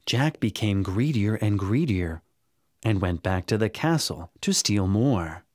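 A man narrating a scripted children's bedtime story in a dramatic, expressive way. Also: lowish voice, a little slow, no room sound, studio quality. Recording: music under the narration. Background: music.

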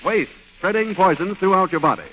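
A man's voice: sits high, brisk, fairly bright tone, rough recording. A male announcer reading a scripted 1930s radio advertisement with polished, emphatic salesmanship. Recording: old radio recording, faint noise.

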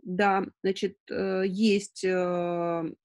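A woman in her forties talks informally as the host of an online session. Her voice sits at a medium pitch. She feels her way through answers, often pausing to think aloud, recorded indoors on a video call. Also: flat delivery, a little mellow. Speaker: webinar host. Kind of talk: informal webinar talk, answering questions. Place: indoors, room at home. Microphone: video call on a laptop.

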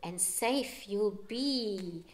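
A woman voicing the sea in a children's storybook as a tender, motherly spirit, calling in a drawn-out singsong. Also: mid-range voice. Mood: urging, tender.

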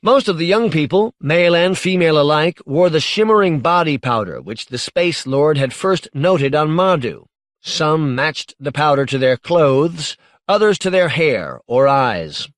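A man reads literary science fiction in a measured, articulate way. His voice sits a little higher than most men's. The sound is dry, with hardly any room around it. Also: animated, no echo. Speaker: audiobook narrator.

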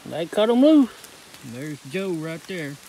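A man's voice: a little high, big pitch swings, slow pace, dark tone, poor audio. A man on a night hunt outdoors, chatting in an easygoing, joking way. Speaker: hunting companion. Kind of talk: casual chat. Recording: outdoor phone recording with rain noise.

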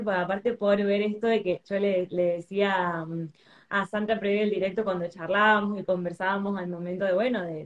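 A woman in her thirties hosts a live interview and talks in a winding way, restarting often and filling pauses. She speaks over a video call from an indoor room. The audio is dull and low-fi. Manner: rambling, many restarts and fillers. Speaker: interview host.

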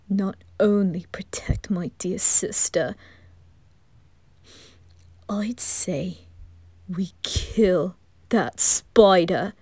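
Woman doing stern vengeful boy noble, clipped orders, slow, dry close sound. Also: medium pitch, mellow, breathy edge, no room sound. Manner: clipped, commanding, whispered threats. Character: stern teenage boy lord, commanding and vengeful. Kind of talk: fan ASMR roleplay audio drama.

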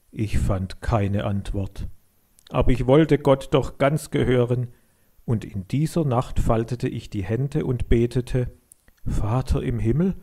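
A man reading a scripted children's story in an expressive, deliberate storytelling manner. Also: medium pitch.

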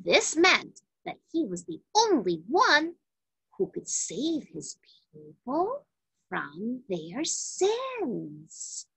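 A woman reading a children's Bible story aloud, with deliberate, emphatic phrasing for young listeners. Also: high voice, huge pitch swings, gravelly, a bit bright, husky, no echo, rough recording.

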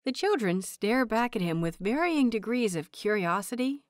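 A woman reading scripted fiction aloud as an audiobook narrator, in an expressive, measured storytelling manner. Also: fairly high pitch, no echo, clean studio sound.

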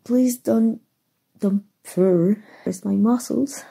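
Woman acting a breathy, shy, nerdy superheroine. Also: mid-range voice, heavily acted. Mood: flustered, smitten. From Mexico. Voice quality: breathy, soft. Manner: halting, stammering, awkward. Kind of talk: ASMR roleplay acting.

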